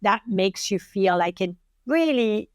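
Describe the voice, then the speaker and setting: A woman with a French accent hosting a podcast interview, talking in an animated, encouraging way with strong emphasis. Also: medium pitch, slow pace, clean tone, no room sound, studio quality. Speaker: podcast host.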